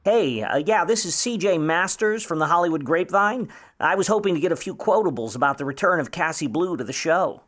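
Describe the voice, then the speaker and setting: An actor playing a wry, grudging, hard-boiled Hollywood private eye of the 1950s in old radio noir style, full of slangy wisecracks. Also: male voice, on the high side, expressive, brisk, few pauses, a bit bright. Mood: wheedling. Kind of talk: old-time radio noir acting with narration.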